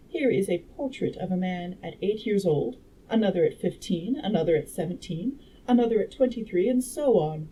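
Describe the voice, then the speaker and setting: A woman reading aloud as a confident Victorian gentleman inventor who lectures in a didactic, assured way. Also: on the low side, close to an everyday voice. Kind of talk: audiobook-style character reading. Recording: noisy room background.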